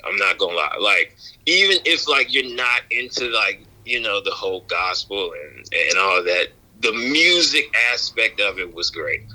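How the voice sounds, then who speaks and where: A man calling in as a podcast guest, telling an anecdote casually in a halting, repetitive, slangy and wry way. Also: on the low side, very animated, pushed and bright, poor audio.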